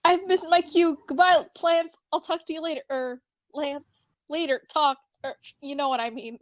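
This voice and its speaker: A woman voicing an excitable, theatrical alien man who rambles and gets flustered in a fan fiction audio drama. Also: high voice, normal speed, no room sound, close to an everyday voice.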